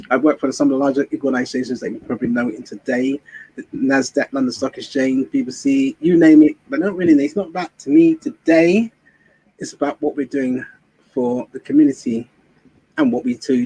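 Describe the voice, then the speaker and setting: A man who co-founded the event speaks as a guest, rambling and halting with many fillers. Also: mid-range voice, gravelly, darkish tone, breathy edge, poor audio. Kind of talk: casual interview.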